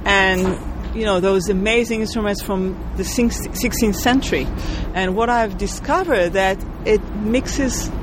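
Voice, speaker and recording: A woman's voice: medium pitch, unhurried, few pauses. Israeli-born woman composer, street noise. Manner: thoughtful, searching for words, laughs lightly. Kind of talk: interview answers.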